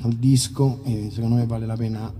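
A man's voice: medium pitch, flat delivery, fairly quick, warm tone, in a large hall, a little hiss or hum. A man, a musician talking informally and ramblingly to his listeners between songs at a live outdoor concert. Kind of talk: casual stage chat between songs.

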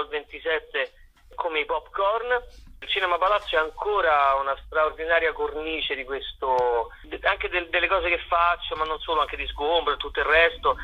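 A man's voice: a little high, dull audio. A man in a casual radio interview as a guest, playful and hesitant, circling back over his own phrases. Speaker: actor and playwright, interview guest.

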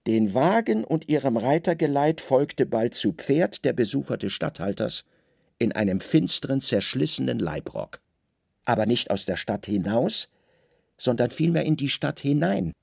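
Male narrator for literary radio drama: deliberate, dramatic phrasing, mid-pitched, gravelly texture, dry studio sound. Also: expressive, a little mellow, no echo.

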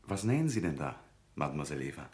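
An actor playing an earnest, troubled young printer and devoted friend in a German radio drama, grave and measured. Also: male voice, extremely low pitch, very animated, darkish tone. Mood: gentle, troubled.

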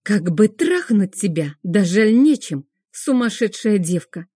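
Best friend for an audiobook, played by the female narrator: chatty, bossy, machine-gun delivery, exclaiming and scolding, soft dark timbre. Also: mid-range voice, big pitch swings, slightly rough, no echo, studio quality. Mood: mock exasperation. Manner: rapid-fire, exclamatory, scolding.

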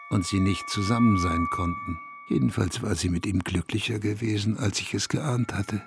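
An actor playing a wistful, bitter man in a first-person radio drama, speaking in a measured, intimate way. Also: fairly low pitch, darkish tone, a little hiss or hum. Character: wistful man recalling a lost idol, brooding and bitter.

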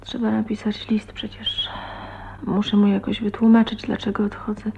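An actress playing a shy, heartbroken young woman in a radio drama, often murmuring to herself in a hushed, breathy way. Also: mid-range voice, completely flat delivery, normal speed, lightly acted. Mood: agitated, searching. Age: young adult. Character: shy, heartbroken 29-year-old woman leaving her husband. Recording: tinny, band-limited 1980s radio recording, hissy.

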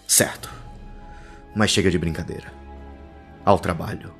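Man playing a deep-voiced, gloating megalomaniac villain over loud music. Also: fairly even intonation, a little slow, creaky, full character voice. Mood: gloating, scheming. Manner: gloating, theatrical. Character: gleeful megalomaniac male villain, alien Time Lord president. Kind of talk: audio drama acting.

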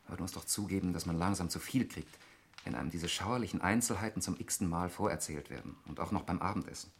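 An actor playing an irritable, suspicious lawyer in a 1960s German radio murder mystery, speaking in a precise, argumentative way. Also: male voice, sits low, quick pace. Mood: irritated. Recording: noisy 1960s radio recording with clicks.

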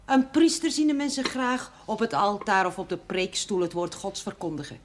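Actress as animated, scolding parish woman. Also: mid-range voice, moderate pace, close to an everyday voice. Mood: indignant scolding. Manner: emphatic, preachy.

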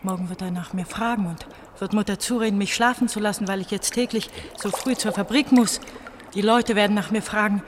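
Radio play role: woman as troubled eighteen-year-old runaway pastor's daughter; halting, brooding, mid-pitched, faint noise behind. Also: steady tone, lightly acted. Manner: brooding, halting monologue with repeated phrases. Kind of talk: German radio drama acting.

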